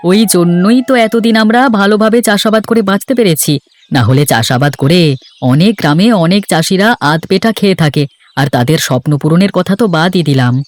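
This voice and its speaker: A man's voice: high-pitched, lively intonation, fairly quick. A man voicing an easygoing, hardworking farmer and husband in a cartoon folk tale. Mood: content, reasonable. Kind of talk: Bengali cartoon fairy-tale dialogue.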